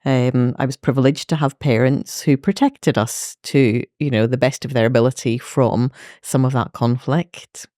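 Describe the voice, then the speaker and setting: A woman's voice: extremely low pitch, steady tone, moderate pace, dark tone, no room sound. Thoughtful Belfast woman, dry close sound. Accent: Northern Irish, Belfast. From Northern Ireland. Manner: thoughtful, many fillers and restarts. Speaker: guest, medical educator. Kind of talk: reflective interview talk.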